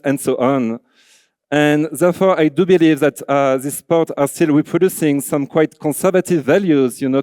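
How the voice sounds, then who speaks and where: A man with a French accent addresses a conference, earnest and loose, leaning on "like" and "you know". His voice sits a touch high for a man, yet mellow and smooth. The sound is dry and close. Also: dry recording. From France. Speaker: conference speaker, designer. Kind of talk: conference talk. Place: large auditorium.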